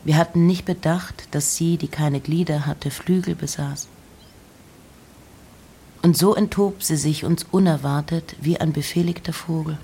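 Literary reader for letters and poetry: medium pitch, very slow, breathy, hushed, with deliberate pauses. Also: steady tone. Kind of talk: literary reading of letters and poems.

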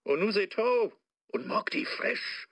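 Man as creaky, scheming Mephisto, Low German. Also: lively intonation, fairly bright tone, dry recording, full character voice. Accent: Low German, northern Germany. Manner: sly, instructing, drawn-out. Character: scheming, sly male devil Mephisto advising Faust. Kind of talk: comic rhymed radio play acting.

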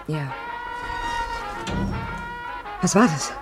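An actress playing a strained, loyal young grown daughter in a 1960s radio drama, exasperated and wistful. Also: female voice, low-pitched, animated, moderate pace, over music. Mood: wistful, defensive. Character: strained, loyal grown daughter, defensive about her ex-fiance.

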